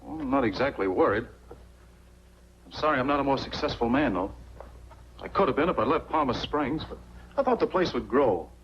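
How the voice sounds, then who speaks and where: An actor playing an earnest, frustrated small-town lawyer with an American accent, in 1940s film style. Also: male voice, mid-range voice, animated, fairly quick, long pauses, close to an everyday voice. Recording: dull, noisy 1940s film soundtrack with hum.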